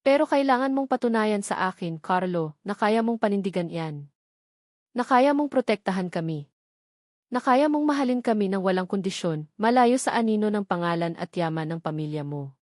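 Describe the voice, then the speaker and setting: Babaeng gumaganap na matatag at maingat na dalaga. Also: female voice, mid-range voice, animated, normal speed, darkish tone, no echo, studio quality, natural voice. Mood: steady, resolute. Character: wary, firm young pregnant woman in a romance. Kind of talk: audiobook drama dialogue.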